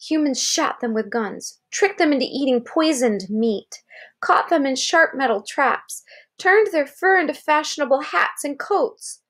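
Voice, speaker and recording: A woman's voice: high voice, a little slow, a bit bright. An American woman reading a children's article aloud like a parent, expressively, with explanatory asides and questions to check understanding.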